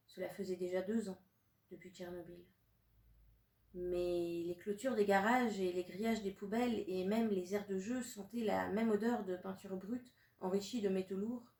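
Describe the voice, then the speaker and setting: A woman in her thirties from Russia, a native Russian speaker, reading her own poetic prose in a measured, deliberate way with long pauses. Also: mid-range voice, a little mellow. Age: 30s. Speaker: author. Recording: roomy sound from a distant mic.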